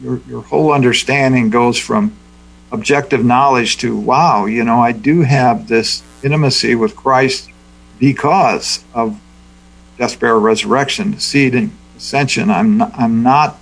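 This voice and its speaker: A man leading a group Bible discussion, teaching in a thoughtful, unhurried way. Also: medium pitch, gravelly, husky, rough recording. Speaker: Bible study leader.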